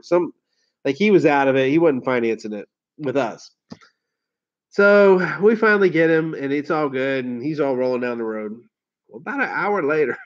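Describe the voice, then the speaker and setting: A man hosting a casual livestream. He tells rambling stories, laughs and swears easily, and sounds hoarse from a head cold. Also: medium pitch. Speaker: car sales livestream host. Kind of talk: casual livestream chat with viewers. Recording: dull lo-fi stream audio.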